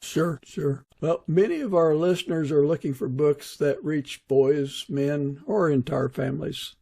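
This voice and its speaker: A man's voice: fairly high pitch, measured pace, creaky, dark tone, husky, no echo. A man hosting a podcast, putting interview questions to a guest and adding short comments. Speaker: podcast host.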